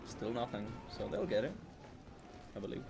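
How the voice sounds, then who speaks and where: A man's voice: mid-range voice, animated, moderate pace, creaky, noisy background. A man giving casual live commentary on a strategy game, thinking aloud as he goes.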